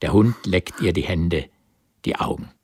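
A man narrating a radio drama in a measured, terse literary style. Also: low voice, animated, slightly rough, dry recording, studio quality. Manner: measured, terse present-tense storytelling.

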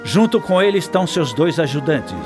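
A man playing a grandiose, excitable narrator of a historic event in a dramatic, celebratory radio style. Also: on the high side, brisk, natural voice. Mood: grand. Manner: pompous, celebratory. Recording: loud music behind the narration.

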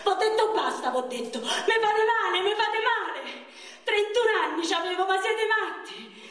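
A woman's voice: extremely high pitch, lively intonation, normal speed, a bit bright. A woman on stage playing a wounded, pleading young man, with a Roman accent and raw, colloquial, repetitive speech. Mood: anguished, pleading. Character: wounded young man of thirty-one, pleading, wistful, foul-mouthed. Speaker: stage actor. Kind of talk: theatrical monologue in dialect. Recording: echoey theatre, distant microphone.

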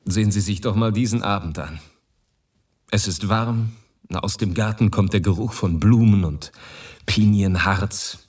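An actor playing a world-weary man abroad in a radio drama monologue, husky and ruminative. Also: lowish voice, unhurried, darkish tone, far from the mic. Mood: wistful, musing.

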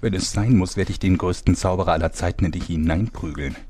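A man's voice: low-pitched, quick pace, a little mellow, lightly acted. A man acting a contemptuous, pompous drill-sergeant coach who scolds and commands in a German parody radio play. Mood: stern, commanding.

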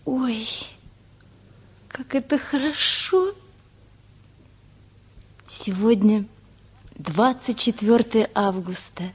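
Husky, high-voiced girl in love. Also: expressive, extremely slow, long pauses, exaggerated voice. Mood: enraptured. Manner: artless, eager, dreamy. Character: tender, eager teenage girl in love. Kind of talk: Russian radio play acting.

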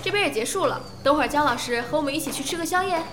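A woman's voice: sits high, lively intonation, very fast. A woman playing a courteous, bustling radio station staffer in an audio drama. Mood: friendly, busy. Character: brisk, apologetic female radio station staffer. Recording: clearly noisy background ambience.

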